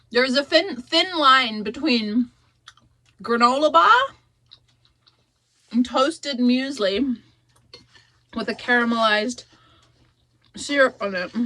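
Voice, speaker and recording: A woman's voice: a little high, fairly bright tone, slight background noise. A woman vlogging in a casual, chatty way, her voice nasal and stuffed up from a cold. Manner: chatty, savoring, thinking aloud. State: congested with a cold. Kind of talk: casual vlog chat.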